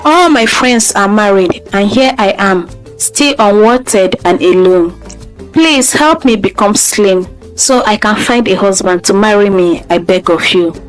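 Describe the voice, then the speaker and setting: A woman's voice: medium pitch, a little slow, faint music behind, natural voice, rough recording. A woman voicing a rejected young village woman in a folktale, speaking in an emotional, imploring way. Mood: pleading. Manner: emotional, pleading.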